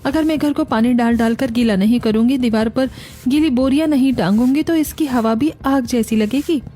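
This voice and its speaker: A woman playing a devoted young daughter-in-law in a cartoon family story, gentle, caring and respectful. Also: a little high, normal speed, lightly acted. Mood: patient, reassuring. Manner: reassuring, respectful.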